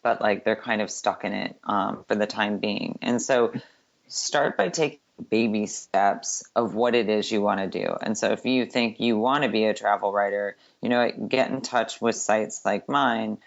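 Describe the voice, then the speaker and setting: A deep-voiced woman, a guest in a casual interview, talking quickly and chattily with lots of filler words. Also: gravelly. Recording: dull lo-fi sound.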